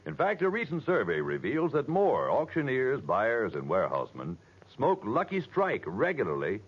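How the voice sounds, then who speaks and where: An American man reading a scripted advertising pitch as a 1940s radio announcer. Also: wildly swooping pitch, moderate pace, breathy edge. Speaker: commercial announcer. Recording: dull 1940s radio recording.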